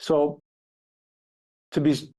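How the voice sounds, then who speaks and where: A man's voice: slow, dark tone. A man who grew up in Newark, hosting an interview with an author in an emphatic, interjecting way. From Newark, New Jersey. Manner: emphatic, interjecting, directs the conversation. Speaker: host.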